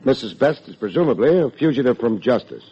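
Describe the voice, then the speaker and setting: An actor playing a wry, wordy hard-boiled private detective with dry sardonic delivery, in old-time radio drama style. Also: male voice, mid-range voice, expressive, fairly quick, natural voice. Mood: probing. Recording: muffled old radio recording with music.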